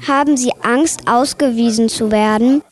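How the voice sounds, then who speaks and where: A woman's voice: fairly high pitch, moderate pace. A woman interviewer asking brief, polite, formal questions.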